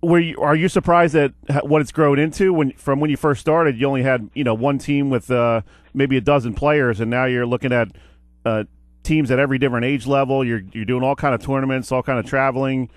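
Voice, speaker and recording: A man's voice: medium pitch, fairly even intonation, fairly quick, no room sound. A man hosting a sports radio show, asking chatty questions with frequent fillers and restarts. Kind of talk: casual radio interview. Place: radio studio.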